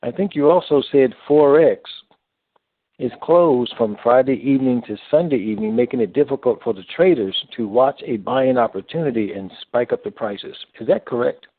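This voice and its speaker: A man co-hosting a casual call, reading out listener questions and speaking haltingly with pauses between phrases. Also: medium pitch, no echo. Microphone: telephone. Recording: narrow, lo-fi phone line.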